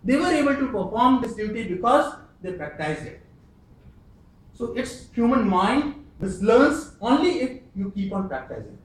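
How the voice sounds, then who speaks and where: A man giving an earnest, emphatic prepared speech at a speaking club, pausing often. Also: extremely high pitch, sing-song. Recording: echoey room, distant mic, faint hiss.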